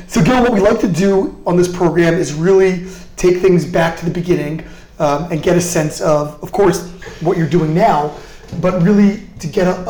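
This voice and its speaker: A man hosting a podcast interview in a chatty, curious, casual way, quick to react to what he hears. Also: high-pitched, warm tone, echoey room, slight background noise, rough recording. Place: indoor office in a parliament building.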